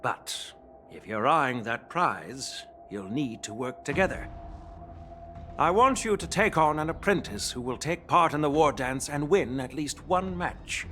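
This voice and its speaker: A man voicing a dignified elderly general who speaks in a measured, courteous and stately way, recorded as a studio game dub. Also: mid-range voice, animated, a bit bright, soft background music. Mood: stern.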